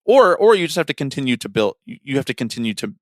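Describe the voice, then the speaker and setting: A man's voice: mid-range voice, big pitch swings, quick pace, no room sound, studio quality. A man in his twenties co-hosting a casual baseball podcast, laying out analysis in long, reasoned runs with repeated phrasing. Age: 20s. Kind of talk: casual sports talk, analysis.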